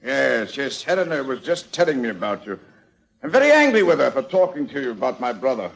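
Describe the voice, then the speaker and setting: An actor playing an arrogant, bullying country landowner, curt and sneering, in 1940s radio style. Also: male voice, medium pitch, big pitch swings, fairly quick, gravelly, poor audio. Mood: sneering menace.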